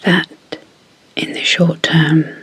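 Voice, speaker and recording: A woman speaking in a soft, breathy whisper as an ASMR sleep hypnosis guide, deliberately and in short phrases with pauses. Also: low-pitched, flat delivery, poor audio. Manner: slow, deliberate, pausing between short phrases.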